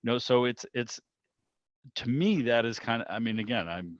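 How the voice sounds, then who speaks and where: A man's voice: mid-range voice, expressive, normal speed, dry recording. A middle-aged man hosting a club meeting over a video call, chatting casually in a rambling, discursive way. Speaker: club meeting host, interviewer. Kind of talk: casual conversational commentary. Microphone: video call microphone. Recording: dull lo-fi video call audio.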